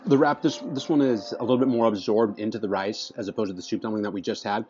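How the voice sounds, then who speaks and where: Guy in his 30s doing a food vlog at a restaurant table, lowish voice, kinda dull sound, faint music in the back. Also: steady tone, quick pace, darkish tone. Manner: enthusiastic, restarts phrases while tasting. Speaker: food vlogger. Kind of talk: casual food review to camera. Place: indoor restaurant dining room.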